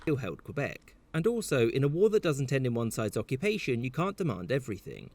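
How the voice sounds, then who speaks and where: A man's voice: mid-range voice, very animated, fairly quick, a little mellow. A man narrating a scripted history explainer with dry, wry asides.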